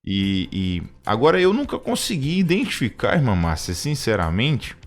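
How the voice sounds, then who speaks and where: A Brazilian man in his thirties giving personal testimony in conversational talk, reflective and halting, often repeating words as he goes. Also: mid-range voice, huge pitch swings. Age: 30s. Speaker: radio show co-host.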